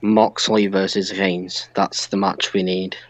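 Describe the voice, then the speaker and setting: A man's voice: low voice, flat delivery, slow. A British man chatting casually and with strong opinions as co-host of a wrestling podcast. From United Kingdom.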